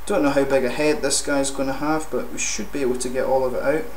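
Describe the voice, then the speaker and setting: A man with a Scottish accent giving a casual, descriptive beer review in a measured, qualifying way. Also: mid-range voice, fairly even intonation. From Scotland. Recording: echoey room with faint background noise.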